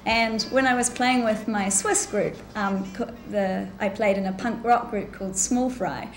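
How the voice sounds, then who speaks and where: A woman's voice: mid-range voice, fairly even intonation, measured pace. A woman with a New Zealand accent chatting enthusiastically as a musician being interviewed. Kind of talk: casual interview. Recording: echoey room.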